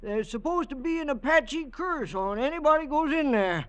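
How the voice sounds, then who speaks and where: Very high-pitched folksy local. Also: male voice, lively intonation, fairly bright tone. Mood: ominous. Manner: halting, folksy, storytelling. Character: folksy, hesitant male desert-town local spinning legends. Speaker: actor in a radio suspense drama. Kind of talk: 1950s radio acting.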